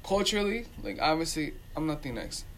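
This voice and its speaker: A man with a voice a little higher than most talks in a casual, opinionated way. He is emphatic and repeats points to drive them home. There is some noise in the background. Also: big pitch swings, moderate pace, a bit bright, rough recording. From Dominican community.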